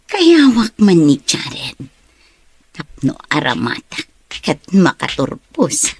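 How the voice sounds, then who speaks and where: A woman acting a scheming, envious young woman in a radio drama, with a husky, theatrical delivery. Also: low voice, huge pitch swings, takes it slowly, full character voice. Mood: brooding, scheming.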